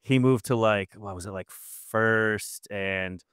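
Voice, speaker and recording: A man from Massachusetts hosts a book podcast, swapping stories in a relaxed, chatty way. His voice comes through smooth and clear. The sound is dry and close to the mic. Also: fairly low pitch, slow pace, dry recording. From Massachusetts, Nantucket. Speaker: podcast host. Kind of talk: casual podcast conversation.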